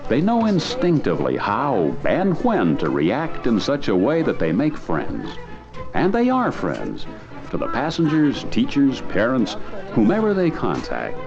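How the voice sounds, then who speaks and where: A man's voice: mid-range voice, wildly swooping pitch, a little slow, creaky, dull audio, over music. A man delivering dramatic scripted narration in a grave, theatrical storytelling manner, as on a 1980s training film soundtrack.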